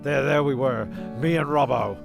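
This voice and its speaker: A man playing a defensive, rambling teller of tall tales in an audio comedy, stammering and swearing in a gravelly voice. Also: medium pitch, moderate pace. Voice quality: gravelly, creaky. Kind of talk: comedic audio drama storytelling. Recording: guitar music behind the voice.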